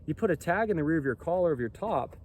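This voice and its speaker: A man with a boomy, projecting voice, a clothing company founder explaining in an emphatic monologue that keeps checking in with "right?" and "okay?". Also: lively intonation, fast, darkish tone, a little hiss or hum. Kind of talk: self-recorded explainer monologue.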